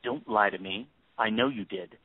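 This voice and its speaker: A man acting in an audio play as a bitter grieving father turned cold avenger, accusing and sarcastic in a deliberate, commanding way. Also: fairly low pitch, fairly even intonation, slightly rough, no echo. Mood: bitter, anguished. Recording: tinny phone-like band.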